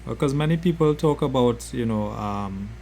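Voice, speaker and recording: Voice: mid-range voice, expressive, normal speed, gravelly, a little mellow, a little hiss or hum. A podcast host asking questions in a supportive, casual way with many fillers. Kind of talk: conversational interview.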